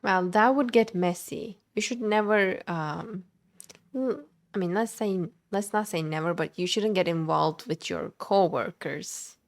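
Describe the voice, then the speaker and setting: A woman's voice: fairly low pitch, measured pace. A woman streaming a game, giving casual live reactions and musing aloud in short interjections. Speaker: gaming streamer.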